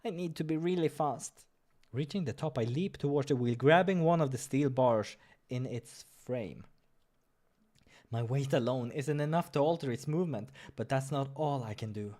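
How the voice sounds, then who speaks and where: A man's voice: medium pitch, moderate pace, warm tone. A man reading a visual novel aloud as a let's player, dramatic with casual asides and the odd stumble, over music. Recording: music behind the voice.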